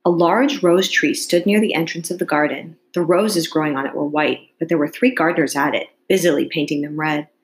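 A woman's voice: deep voice, fairly quick, few pauses. A woman reading a storybook aloud to students like a teacher, carefully and with expression.

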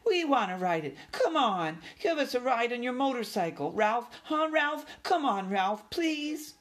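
A man voicing eager little young mouse cousins with squeaky voices in a children's story. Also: big pitch swings, measured pace, fairly bright tone, full character voice. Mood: pleading.

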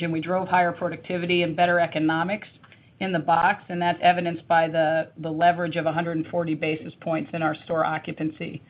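A woman executive answering analyst questions on an earnings call, measured, with frequent ums and restarts. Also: on the low side. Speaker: company executive. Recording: tinny phone line.

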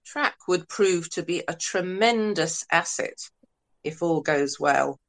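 Low-voiced woman as a calculating hacker entity. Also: takes it slowly, fairly bright tone. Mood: calculating, watchful. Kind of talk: scripted audio drama narration.

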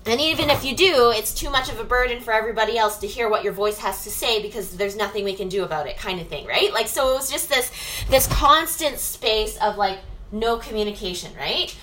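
Chatty woman, high bright voice, lots of likes and rights, echoey room with faint hiss. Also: a little fast. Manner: rambling, many fillers and "right?" tags. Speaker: business owner. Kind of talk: casual reflective monologue.

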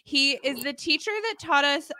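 A woman co-hosting a podcast, chatty and excitable, often tripping over her words. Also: sits high, normal speed. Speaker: podcast co-host. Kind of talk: casual podcast chat.

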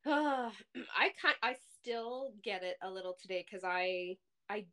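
A woman's voice: mid-range voice, expressive, takes it slowly, pushed and bright. A woman in her thirties with a young-sounding voice, chatting casually and playfully as a podcast co-host. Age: 30s. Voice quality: youthful-sounding. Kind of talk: casual chat.

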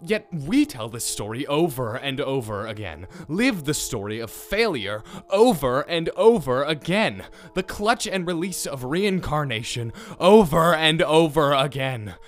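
A man playing an intense mythology professor in an audio drama, telling stories with deliberate, weighted phrasing. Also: on the high side, big pitch swings. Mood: intense, urgent. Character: mythology professor, grave storyteller turning frantic and obsessive. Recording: staged old classroom recording, music underneath.

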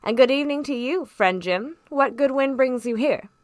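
Woman as storybook gentleman doctor, genial, stately, shrewd, raised pitch, lively intonation. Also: normal speed, no echo. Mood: stately greeting.

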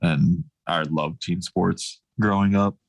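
Deep, gravelly-voiced man, relaxed guest. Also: takes it slowly. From grew up across Asia, mostly Taiwan. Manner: relaxed, easygoing, thoughtful. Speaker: guest, professional basketball player. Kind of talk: casual interview answers.

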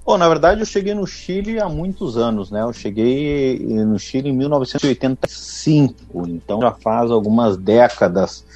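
A middle-aged man from Brazil, a winemaker guest in a radio interview, explaining in a measured way with frequent tag questions. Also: medium pitch, warm tone.

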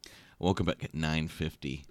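A man's voice: a bass voice, fairly even intonation, measured pace, no echo. A man co-hosting a podcast in casual chat, sleepy after a short night, rambling with many restarts and repeated words. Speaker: podcast co-host. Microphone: podcast mic.

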